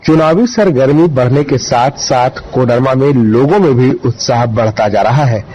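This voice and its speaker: A man's voice: medium pitch, moderate pace, mellow, breathy edge. A man delivering a formal, scripted radio news report as a correspondent. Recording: dull, faintly noisy radio field report.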